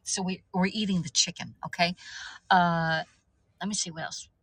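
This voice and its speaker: Woman, 50s, livestream host, chatty and rambling, lowish bright voice. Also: steady tone, moderate pace, husky, no echo. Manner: rambling, chatty, talks to viewers by name. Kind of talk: casual livestream chat.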